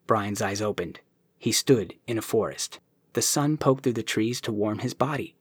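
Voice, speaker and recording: A man reading a novel aloud in an even storytelling manner, his voice husky and breathy. The sound is close and dry, with no room around it. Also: lowish voice, moderate pace, dry recording. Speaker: narrator. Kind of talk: audiobook narration.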